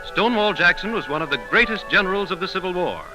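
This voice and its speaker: 1930s radio announcer, male, highish voice, scripted pitch read over music, vintage sound. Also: animated, fast talker, rough recording.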